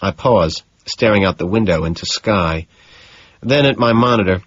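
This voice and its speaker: A man's voice: low voice, moderate pace, husky. A man narrating a novel in the first person as a scripted audiobook. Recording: dull, echoey room sound.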